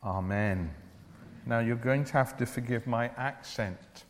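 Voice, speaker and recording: A man preaching a church sermon in an earnest, deliberate way, with declarative phrasing. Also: medium pitch, slight background noise. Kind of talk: evangelical church sermon with Bible reading and prayer. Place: large church or hall, speaking to a congregation. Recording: reverberant hall, long echo.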